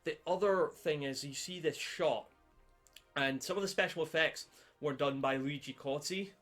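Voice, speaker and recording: A man co-hosting a film podcast in casual chat, halting and rambling, with casual swearing. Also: on the high side, a bit bright. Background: background music.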